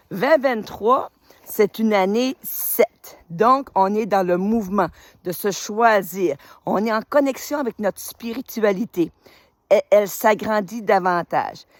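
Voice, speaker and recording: A woman's voice: mid-range voice, dry recording. Enthusiastic woman in her fifties. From New Brunswick, Canada. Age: 50s. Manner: warm, enthusiastic, rambling with many fillers. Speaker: retired teacher and spiritual group leader. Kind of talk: casual welcome video to followers. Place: outdoors on a road in winter.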